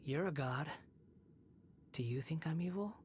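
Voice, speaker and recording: A woman playing a sorrowful young male puppet wanderer in a video game, solemn, measured and earnest. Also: sits low, background noise. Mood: grave, searching. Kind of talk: dramatic video game voice acting.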